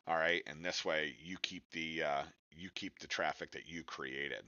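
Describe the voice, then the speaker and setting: Low-pitched man, forceful bright edge, conversational webinar instructor, direct, dull dry recording. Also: fairly even intonation, normal speed, no room sound. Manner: conversational, direct, peppers points with tag questions. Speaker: webinar presenter, digital marketing instructor. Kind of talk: informal instructional webinar presentation.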